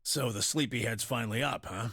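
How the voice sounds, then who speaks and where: A man's voice: medium pitch, brisk, gravelly, a bit bright, slightly rough, no echo, studio quality. An actor playing a blunt, sardonic, weary older man in a video game. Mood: scornful, resigned. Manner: blunt, scornful, rhetorical. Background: game music.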